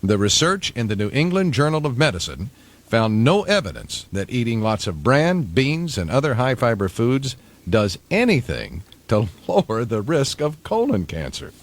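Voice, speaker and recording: A man's voice: mid-range voice, sing-song, normal speed. A man reading news items on a radio show and adding his own offhand remarks. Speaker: radio newsreader and sidekick.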